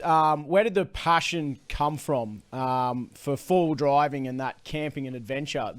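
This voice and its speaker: A man's voice: fairly high pitch, dry recording. Australian male podcast host, chatty, teasing, dry close sound. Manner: friendly banter, frequent short agreements. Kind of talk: casual interview.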